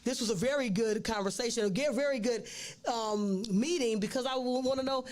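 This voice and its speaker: A woman's voice: on the high side, fairly quick, darkish tone. Rambling woman host, lots of fillers. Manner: rambling, repeats words, many fillers. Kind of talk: casual interview talk.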